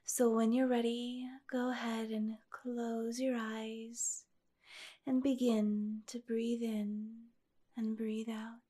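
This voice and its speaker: Slow, hushed woman guiding a meditation. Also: fairly high pitch, little pitch movement. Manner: slow, gentle, hushed, with breathy phrase endings. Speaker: guided meditation host. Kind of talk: soothing guided meditation storytelling.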